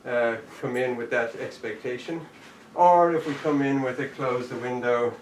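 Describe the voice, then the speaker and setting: A man's voice: medium pitch, normal speed. A man teaching a jujitsu class online, talking through each move as he demonstrates it and calling out the steps. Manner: demonstrating moves while talking, counting out steps. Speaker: jujitsu instructor. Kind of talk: live online martial arts class. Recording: echoey room, distant mic, faint background noise.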